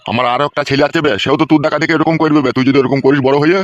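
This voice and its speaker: Casting: man as foul-mouthed older cartoon dad, very fast, bitter scolding, faintly noisy recording. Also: fairly high pitch, fairly even intonation, highs cut off, natural voice. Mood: angry. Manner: scolding, cursing. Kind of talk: comedy cartoon dubbing.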